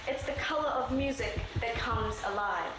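High-voiced young woman reading an earnest speech, measured, lots of room echo and background hiss. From born in Sri Lanka, raised in New Zealand. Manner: measured, earnest delivery with slowed emphatic phrases. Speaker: student speaker. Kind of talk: prepared speech. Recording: very echoey room with background noise.

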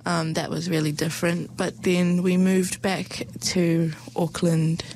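Woman, New Zealand accent, lowish voice, vocal fry, soft measured delivery, spoken word poet. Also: little pitch movement, rough recording. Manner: soft, slow, measured delivery with hushed phrases. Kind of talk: spoken word poetry and personal reflection.

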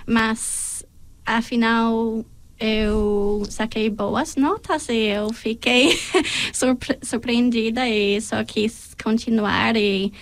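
Young kiwi woman, english is her first language, slow and gravelly, kinda hesitant, pauses to find words. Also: fairly high pitch, steady tone, rough recording. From New Zealand. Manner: hesitant, laughs often, searches for words. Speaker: guest. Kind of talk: interview.

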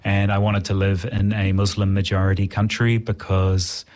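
A man's voice: fairly low pitch, little pitch movement, normal speed, a little mellow. A man with a New Zealand accent, an interviewed writer talking reflectively in a conversational style.

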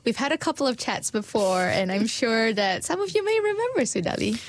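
A woman hosting a conversation, asking her guests questions in a warm, encouraging way. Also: fairly high pitch, big pitch swings, brisk, dry recording. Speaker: host. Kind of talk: interview.

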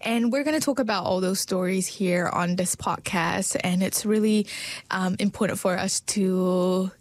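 A woman's voice: medium pitch, normal speed, no echo. A woman hosting a community podcast, introducing a guest and asking questions in an encouraging way. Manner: bright, encouraging, reads intros smoothly. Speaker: podcast host. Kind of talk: warm-toned hosted interview.

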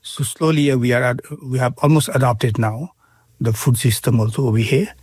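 A middle-aged man with a Bhutanese accent, speaking in an interview with many pauses, fillers and restarts. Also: medium pitch, a little mellow, husky, no room sound. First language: Nepali. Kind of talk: casual interview.

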